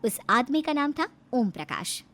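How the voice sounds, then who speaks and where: A woman's voice: high voice, sing-song, moderate pace, fairly bright tone. A woman narrating a scripted story as a storyteller. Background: faint music.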